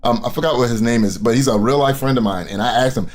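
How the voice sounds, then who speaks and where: A man doing casual, profane reaction commentary, blunt and mocking, often repeating himself for emphasis. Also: fast talker. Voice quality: deep voice. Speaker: commentary YouTuber reacting to clips.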